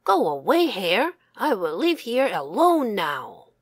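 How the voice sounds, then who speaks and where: A woman voicing a bossy, sly female fox in a sharp, commanding storybook manner. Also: mid-range voice, big pitch swings, slow, husky, no echo, clean studio sound, exaggerated voice.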